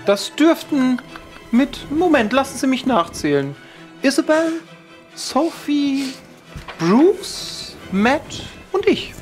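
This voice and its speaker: Man as a high, prim female housekeeper. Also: sing-song, slow pace, faint music behind, exaggerated voice. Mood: prim, dutiful. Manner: prim, formal. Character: fussy, proper female head housekeeper.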